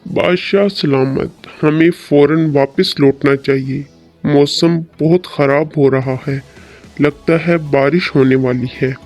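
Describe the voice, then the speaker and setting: Male actor as loyal storybook soldier: slightly high voice, slow, formal, worried, music underneath. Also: steady tone, darkish tone. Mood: concerned. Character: loyal, worried royal soldier addressing his king. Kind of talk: storybook dialogue. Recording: music behind the voice.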